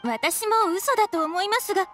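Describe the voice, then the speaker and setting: Casting: prim, worried young granddaughter, very high feminine voice, game dialogue. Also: female voice, big pitch swings, moderate pace, a bit bright, soft background music, exaggerated voice. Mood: distressed, polite. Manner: polite, pleading feminine speech. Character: polite, anxious young townswoman, devoted granddaughter. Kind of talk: video game voice acting.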